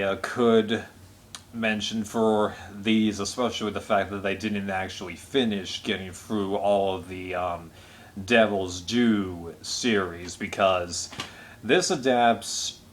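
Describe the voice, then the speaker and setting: A man with a somewhat low-pitched voice speaks as a reviewer giving his casual take. His delivery is unscripted and rambling, measured as he qualifies his opinions. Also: slight background noise.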